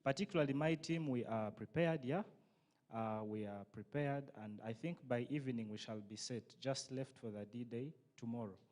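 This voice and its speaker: A man's voice: medium pitch, normal speed, darkish tone. Young Ugandan man, student interviewee. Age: young adult. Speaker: journalism student being interviewed. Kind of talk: spoken interview answers.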